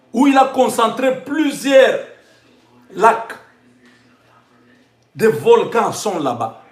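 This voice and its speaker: A man with a Congolese accent speaks in a high register at a slow, deliberate pace. He delivers an impassioned religious and patriotic address, building it from short, repeated phrases. The sound is echoey, with the room clearly audible around his voice. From Democratic Republic of the Congo.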